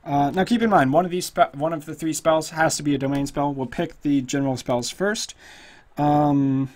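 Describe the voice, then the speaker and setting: A slightly hoarse man casually walking through a tabletop character build, thinking aloud with lots of fillers. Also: fairly high pitch. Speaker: tutorial host. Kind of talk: casual screen-recorded game tutorial.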